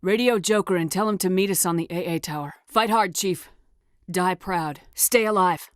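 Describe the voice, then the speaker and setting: A woman's voice: on the low side, normal speed, no echo, clean studio sound, lightly acted. A woman playing a determined, commanding military officer in a video game, speaking in a firm, clipped, authoritative way. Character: commanding female military officer, steely, determined space soldier. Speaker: voice actor. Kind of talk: video game dialogue acting.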